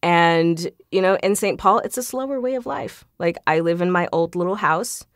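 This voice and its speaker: A woman chatting casually as an interview guest, talkative and quick to laugh. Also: fairly low pitch, no echo, clean studio sound. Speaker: guest, voice actor. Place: closet home studio, dry sound. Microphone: shotgun studio microphone.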